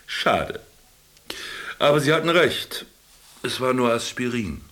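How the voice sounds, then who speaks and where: Sardonic ex-businessman, animated and dry. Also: medium pitch, slow, a little hiss or hum. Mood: wry. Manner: dry, ironic, theatrically lecturing. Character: sardonic former businessman stranded in a camp, teasing his companion. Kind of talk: German radio play dialogue.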